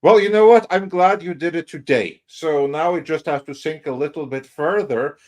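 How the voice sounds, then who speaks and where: A man hosts a casual panel chat in a slightly high voice. His delivery is halting and wry, with phrases broken up as he goes. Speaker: host of a live stream panel.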